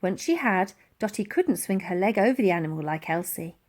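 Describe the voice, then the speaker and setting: A woman narrating a children's adventure story in an animated storytelling style. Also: medium pitch, a little fast. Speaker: audiobook reader.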